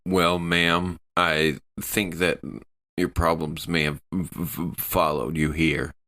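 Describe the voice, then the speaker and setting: A man playing a suspicious, gruff spaceship crewman in improvised sci-fi role-play, halting and stammering as he talks. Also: low-pitched, level tone, pushed and bright, heavily acted. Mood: wary.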